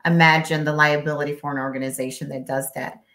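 Low-voiced woman interpreter on a video call. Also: little pitch movement, fast talker, fairly bright tone. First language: Spanish. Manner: emphatic, explanatory, many rhetorical "right?". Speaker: guest, language interpreter. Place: home office. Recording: echoey room on video call.

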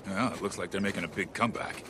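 An actor playing a stern, disciplined militia officer in a video game, speaking in a firm, businesslike way. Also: male voice, deep voice, fast, background noise, natural voice. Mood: alert, businesslike. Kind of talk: naturalistic video game acting.

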